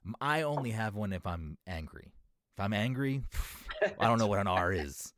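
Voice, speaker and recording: A man's voice: lowish voice, animated, normal speed, fairly bright tone. A young man from Massachusetts without much of a regional accent, chatting on a podcast, rambling through tangents with careful enunciation. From Massachusetts, USA. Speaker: podcast co-host. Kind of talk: casual chat.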